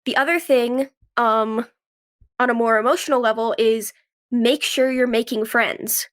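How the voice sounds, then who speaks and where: A woman's voice: fairly high pitch, steady tone, a little slow, a bit bright. A woman speaking as an interview guest in a conversational, earnest and explanatory way. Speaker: podcast guest, actress and writer.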